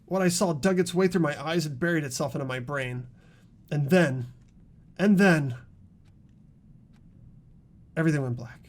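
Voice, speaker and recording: A man's voice: on the high side, normal speed, long pauses, lightly acted. A man voicing an anxious, earnest teenage boy, the protagonist of a video game, narrating his thoughts dramatically. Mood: shaken, dramatic.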